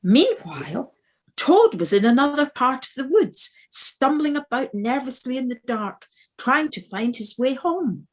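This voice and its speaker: Woman narrator, storybook style, husky, slow, expressive pitch, scripted. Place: living room at home, recorded over video call.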